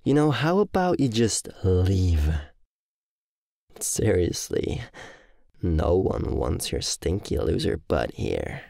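A man acts out a male demon bully at a university in an audio drama. His delivery is very slow and mocking, swinging widely in pitch. The sound is dry and close. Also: sing-song, gravelly, dark tone, no echo, exaggerated voice. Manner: taunting, drawn-out delivery. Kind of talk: audio roleplay acting.

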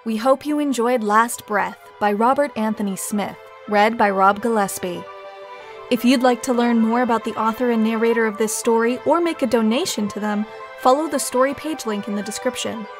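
A woman reads a scripted closing announcement for a story podcast in a friendly, clear manner. Her voice sits on the higher side, with faint music playing under it. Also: moderate pace. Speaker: podcast outro host.

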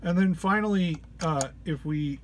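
A man's voice: a little high, a little slow. A man giving an informal gear walk-through as a demonstrator, hesitant and searching for words, sounding under the weather. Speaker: musician demonstrating an effects pedal patch. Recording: clear background noise.

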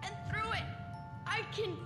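Child monarch for fantasy game, woman performer: frail, solemn, halting, very high voice in echoing reverb. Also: steady tone. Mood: strained, pained. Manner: halting, broken phrasing. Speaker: video game character. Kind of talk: dramatic game cutscene acting. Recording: echoey long reverb, music underneath.

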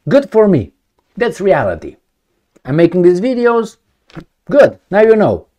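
A man's voice: on the high side, darkish tone. A middle-aged man, from Romania, talking to camera in a home study, emphatic and repetitive, asking rhetorical questions. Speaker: video commentator. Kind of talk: casual to-camera commentary. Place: home study with bookshelves, indoors.

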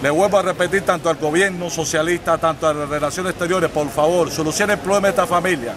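A man's voice: fairly high pitch, fairly even intonation, fast talker. Insistent man pleading in a plaza. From Cuba. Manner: insistent, repeats himself, gestures while talking. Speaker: interviewer and activist. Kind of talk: street interview and appeal. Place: city plaza outdoors. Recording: outdoor street crowd noise.

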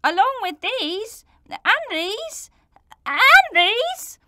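A woman's voice: high-pitched, sing-song, very slow, heavy background noise, voice pushed up, heavily acted. A woman voicing a grown man who stammers and is easily outraged. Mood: indignant. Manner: stammering, indignant.

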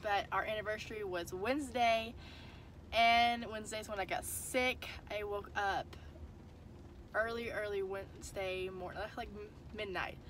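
A woman's voice: mid-range voice, fairly even intonation, unhurried, fairly bright tone, a little hiss or hum. A young woman, congested from a cold, chatting to a phone camera held at arm's length in a car, tired and rambling. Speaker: family vlogger. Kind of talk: casual chat to camera. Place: inside a parked car.

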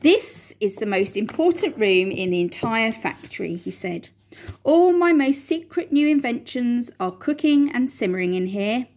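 A woman doing an excitable, bossy chocolate maker. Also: fairly high pitch, a little slow, exaggerated voice. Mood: excited stern. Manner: bright, bossy, gleefully excited. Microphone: phone camera at close range.

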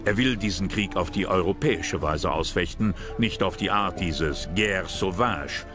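A man's voice: sits low, unhurried. A man reading scripted narration for a history documentary. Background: background music.

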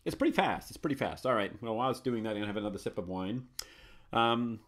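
A lower-pitched man talks through a live screen tutorial at his desk. He is chatty and keeps correcting himself, murmuring commands half to himself as he types. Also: fast, fairly bright tone. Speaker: host of a live Linux tutorial show.

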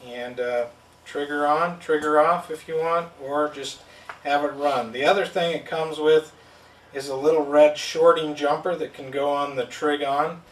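A man's voice: fairly high pitch, fairly even intonation, a little slow, far from the mic. Man demoing a circuit board, step-by-step explaining, roomy room, a bit off-mic. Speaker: product demonstrator. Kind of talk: hands-on product demo. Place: indoor studio at a table.

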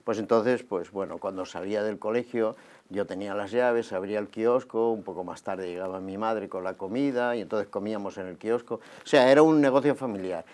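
A man in his fifties from Barcelona, a guest telling his life story in a relaxed, conversational way, recorded on a lapel mic in a small TV studio. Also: on the low side, brisk. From Barcelona, Spain. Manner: relaxed and conversational, gesturing as he talks.

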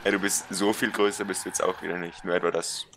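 Deep-voiced young streamer, slangy. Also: male voice, level tone, fast, noisy background. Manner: slangy, joking, swears and laughs. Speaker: game streamer. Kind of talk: casual gaming stream chat.

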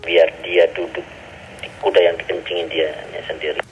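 A man voicing a sly old male village shaman, talking in a slow, mocking drawl from away from the mic. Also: low-pitched, little pitch movement, muffled recording, slight background noise, voice pushed down, close to an everyday voice.

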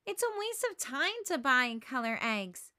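A woman's voice: high voice, big pitch swings, normal speed, sharp tone, no echo, clean studio sound, full character voice. A woman voicing an earnest, insistent little boy in a children's storybook read aloud.

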